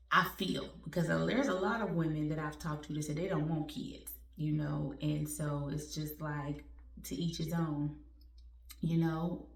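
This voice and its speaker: Slow-talking woman in her forties, roomy sound. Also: deep voice, creaky, far from the mic. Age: 40s. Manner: candid, conversational, laughs at herself, uses y'all. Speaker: vlogger. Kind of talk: personal heartfelt talk to viewers.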